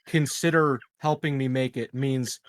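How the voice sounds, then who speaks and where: A man's voice: medium pitch, fairly even intonation, measured pace, no room sound. Dry, close-sounding man playing a tabletop game. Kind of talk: casual game chat.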